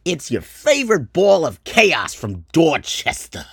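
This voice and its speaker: A man puts on a brash American co-host for a mock radio show. He announces like a chaotic showman, stretching words for emphasis with big pitch swings in a dry recording. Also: wildly swooping pitch, vocal fry, fairly bright tone, slightly rough, no room sound, heavily acted. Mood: brash, showy. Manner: showy announcer, drawn-out emphasis.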